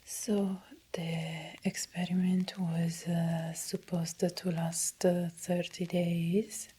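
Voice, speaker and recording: A woman with an Italian accent playing an uneasy doctor confessing in a breathy, halting ASMR roleplay whisper. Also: on the low side, little pitch movement, mellow, full character voice. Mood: hesitant, defensive. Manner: slow, halting, hesitant. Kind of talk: soft-spoken ASMR roleplay.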